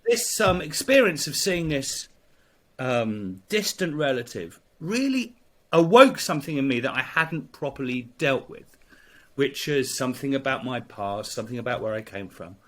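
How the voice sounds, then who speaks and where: A middle-aged English man, a priest, telling a personal story in conversation, halting with many fillers and restarts. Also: mid-range voice, big pitch swings. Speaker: priest, guest.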